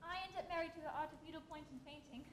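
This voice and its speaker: Woman playing a dainty, very high-pitched romance heroine. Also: fairly even intonation, racing, a bit bright. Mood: eager, coquettish. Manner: affected, ladylike, breathlessly romantic. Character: talking romance novel, dainty swooning young lady. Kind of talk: comic sketch acting. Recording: echoey room with background noise.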